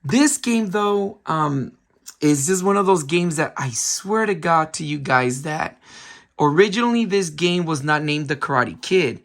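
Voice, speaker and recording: A man with a hoarse voice, still recovering from a cold, hosting a casual game review with lots of ums and restarts. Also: a little high, expressive, unhurried, fairly bright tone. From San Francisco Bay Area. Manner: chatty, many ums, restarts himself. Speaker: retro game collector channel host.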